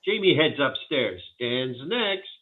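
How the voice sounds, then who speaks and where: A man's voice: medium pitch, big pitch swings, slow. A man reading stage directions aloud as scripted narration for a table read. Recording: thin, phone-like audio.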